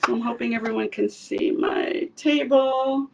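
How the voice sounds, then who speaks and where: A woman's voice: a little high, fairly even intonation, unhurried, gravelly, recorded from a distance, noisy background. A woman from El Salvador giving a casual cooking demonstration in her home kitchen, chatty with frequent fillers. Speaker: cooking instructor.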